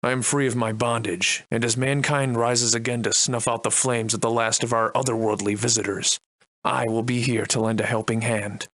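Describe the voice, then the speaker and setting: An actor playing a wry, defiant Victorian-era adventurer and monster slayer in a video game, soliloquizing in florid, old-fashioned diction. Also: male voice, medium pitch, level tone, moderate pace, a bit bright, dry recording, close to an everyday voice. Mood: grave, reflective. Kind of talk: theatrical video game voice lines in archaic English.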